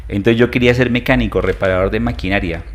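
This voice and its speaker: Colombian man in his fifties, low-pitched, hesitant guest full of fillers. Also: fairly even intonation, quick pace. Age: 50s. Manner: hesitant, many fillers, storytelling. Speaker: guest, entrepreneur. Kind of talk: interview.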